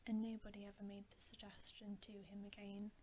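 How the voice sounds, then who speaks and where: A woman reading a bedtime story as ASMR in a breathy whisper. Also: mid-range voice, completely flat delivery, a little fast, mellow, slight background noise.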